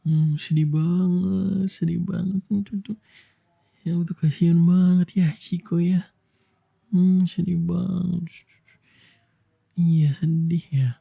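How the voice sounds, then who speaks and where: Young male ASMR storyteller. Unhurried, very dark soft tone, soothing bedtime delivery. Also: high voice, flat delivery. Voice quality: soft, breathy, husky. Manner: slow, gentle, soothing delivery with long pauses. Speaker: ASMR voice actor telling a bedtime story as a boyfriend.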